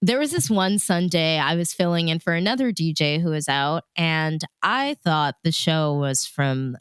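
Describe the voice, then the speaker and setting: A woman's voice: sits low, moderate pace, clean tone, dry recording. A woman chatting casually and telling stories in the manner of a radio DJ, animatedly acting out her own thoughts as she goes. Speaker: radio DJ and host, interview guest. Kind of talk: casual conversational storytelling.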